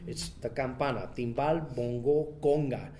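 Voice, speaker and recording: Man talking haltingly, faint rumble behind. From United States. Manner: relaxed, halting, with many fillers and restarts. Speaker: interview guest, son of a famous bandleader. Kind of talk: casual interview.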